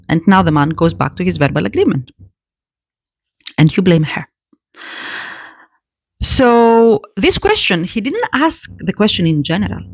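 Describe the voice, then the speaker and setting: A woman giving casual spoken commentary to her audience, animated and prone to repeating words and restarting phrases. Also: medium pitch, breathy edge, no room sound, studio quality.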